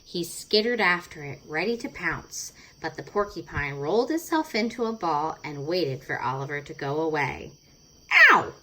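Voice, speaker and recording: A woman's voice: low voice, expressive, moderate pace, hardly any pauses, a bit bright, poor audio. A woman narrating a children's storybook expressively, stretching words for dramatic effect. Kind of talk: expressive children's read-aloud.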